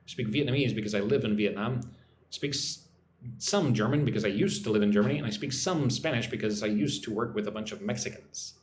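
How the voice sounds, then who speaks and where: A man's voice: lowish voice, brisk, darkish tone, roomy sound, a little hiss or hum. An American man hosting a casual English lesson livestream, chatting with viewers and answering their comments by name. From United States. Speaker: English teacher. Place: home in Vietnam.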